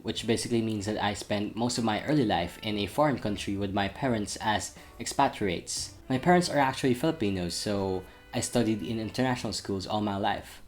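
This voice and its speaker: A young man who grew up in Saudi Arabia, with an international school accent, giving his viewers an earnest, slightly wordy channel update. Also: on the low side. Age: young adult. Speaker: channel host. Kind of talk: vlog update talking to camera.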